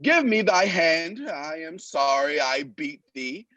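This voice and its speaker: A man's voice: on the high side, very animated, takes it slowly, bright, forceful tone, dry recording, muffled recording, full character voice. An actor playing a pompous, bossy drunkard who lords it over his companions in a Shakespearean radio play. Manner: pompous, commanding, archaic speech. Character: blustering, bossy drunken man playing lord.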